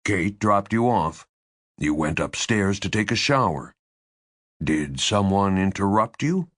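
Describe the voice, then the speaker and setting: A male narrator plays an interrogator in an audiobook thriller, a man with strained patience. He is low-voiced and slow. Each word is measured, tight and insistent. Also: lively intonation, a bit bright, dry recording, studio quality, heavily acted. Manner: measured, tight, deliberately enunciated.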